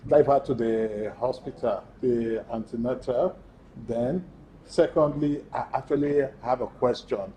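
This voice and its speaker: A man's voice: mid-range voice, measured pace, mellow, lo-fi sound, slight background noise. A man speaking informally as an audience member. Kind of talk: informal spoken answer and question.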